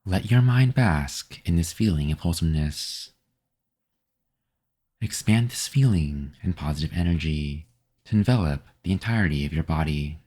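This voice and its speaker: Very deep, mellow man guiding meditation. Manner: calm, gentle, measured instruction with pauses. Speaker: meditation guide. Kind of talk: scripted guided meditation.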